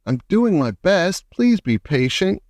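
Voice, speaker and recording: Man doing a meek, flustered high-voiced glowworm. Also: very animated, slow pace, darkish tone, dry recording, clean studio sound, heavily acted. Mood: flustered pleading. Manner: pleading, apologetic.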